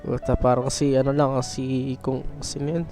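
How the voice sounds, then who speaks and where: A man's voice: mid-range voice, flat delivery, normal speed. A man with a Filipino accent, a native Tagalog speaker, giving casual live gameplay commentary and reading lines aloud. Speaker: game streamer. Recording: game music faintly behind.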